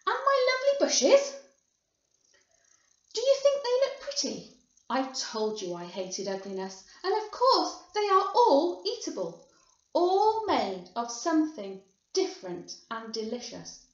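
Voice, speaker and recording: An eccentric, boastful candy maker from a storybook, acted out by a woman. The pitch is high and swoops with theatrical emphasis. It is heard in an echoey room. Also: huge pitch swings, a little slow, full character voice. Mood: excited, proud. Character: eccentric, excitable, boastful male candy factory owner. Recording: muffled, boxy echoing room.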